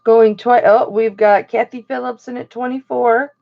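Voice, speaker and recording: Slightly hoarse gravelly lady doing a chatty live auction, slow and kinda high, muffled stream sound. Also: fairly even intonation. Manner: chatty, greets viewers by name.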